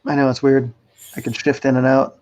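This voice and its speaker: A man's voice: mid-range voice, level tone, brisk, a little mellow. An American man co-hosting a casual livestream, easygoing and agreeable in the way he talks. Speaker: livestream co-host. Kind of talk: casual chat. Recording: slightly dull lo-fi stream audio.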